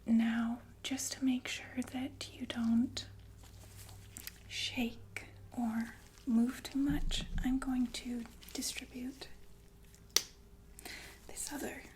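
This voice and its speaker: A woman playing a softly menacing, falsely soothing killer nurse in a whispered ASMR roleplay, halting and casually polite. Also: a little high, flat delivery. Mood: calmly menacing. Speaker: ASMR performer.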